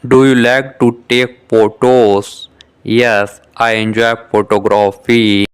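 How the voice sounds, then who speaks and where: Slow, flat, very clear male reader. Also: medium pitch. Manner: reads short question-and-answer exchanges, each said twice; measured, carefully articulated delivery. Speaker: English lesson dialogue reader. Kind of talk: scripted beginner dialogue for shadowing practice.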